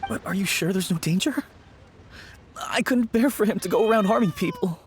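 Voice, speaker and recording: A man's voice: high-pitched, lively intonation, moderate pace, a little hiss or hum. An actor playing an anxious young man in a game, haunted by the loss of his childhood friend, speaking in a subdued, hesitant way. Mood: worried. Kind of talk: game voice acting.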